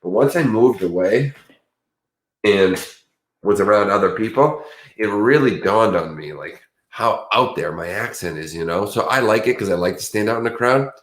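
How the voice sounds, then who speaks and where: A man's voice: on the low side, lively intonation, normal speed. A man with a Boston accent, sleepy and chatting in a rambling, casual way as co-host of a true crime stream. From Boston area. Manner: meandering, chatty, restarts often. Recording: roomy echo.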